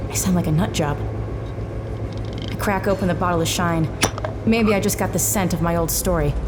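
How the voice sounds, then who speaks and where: A woman's voice: lowish voice, background noise, close to an everyday voice. An actress playing a hard-drinking, wisecracking, world-weary female private eye in a noir detective audio drama. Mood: wry, brooding. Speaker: lead actor in a science fiction noir audio drama.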